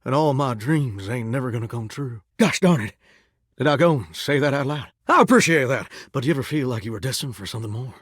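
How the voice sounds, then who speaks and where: A man's voice: mid-range voice, lively intonation, fast talker, slightly rough, dry recording, studio quality. A man playing a folksy, flirtatious talking shower in a video game, with a theatrical Southern US drawl and a wistful streak. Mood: aggrieved, dramatic, then wistful, resigned. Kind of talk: video game character acting.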